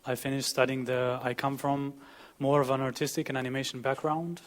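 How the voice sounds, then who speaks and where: A man's voice: medium pitch, level tone, fairly quick. A young man from Israel with an Israeli accent, giving a conference presentation as a student. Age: young adult. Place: dim conference auditorium with audience, on a panel. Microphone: headset.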